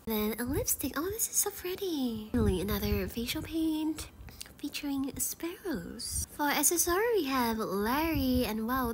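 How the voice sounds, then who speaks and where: A woman with a stuffy, blocked nose chatting casually as she previews game outfits, gushing over items and often dropping to a soft whisper. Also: a little high, background noise. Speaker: gaming YouTuber. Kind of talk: casual gacha preview commentary.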